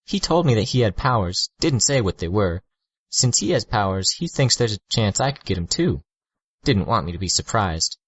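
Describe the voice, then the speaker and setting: A pushy, mocking teenage girl played by a man reading aloud who teases with a slow, blunt drawl. The voice sits well above his low register. It is captured dry and a little dull. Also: lively intonation, slightly rough, no echo. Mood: teasing.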